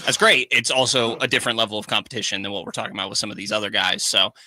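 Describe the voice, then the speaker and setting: A man co-hosting sports talk radio, measured and analytical, often saying "like" as he builds his point. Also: on the low side, fairly even intonation, sharp tone, no echo. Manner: measured, analytical, uses fillers like "like".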